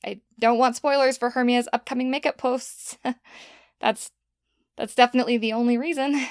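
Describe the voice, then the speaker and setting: A woman acts a flustered young podcaster in an audio drama. Her voice is fairly high and dry, halting awkwardly as she tries to cover her discomfort. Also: fairly even intonation, no room sound, lightly acted. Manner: hesitant, awkward. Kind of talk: naturalistic audio drama acting.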